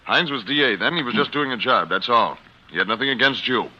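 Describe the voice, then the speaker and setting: A man's voice: medium pitch, lively intonation, fairly quick, bright, forceful tone. An actor playing a plain-spoken, fatherly prison warden in 1940s radio style. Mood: kindly, brisk. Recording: noisy, muffled old radio recording.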